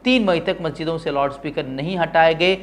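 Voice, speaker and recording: High-voiced man presenting opinionated news. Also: brisk. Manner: formal Urdu-heavy diction.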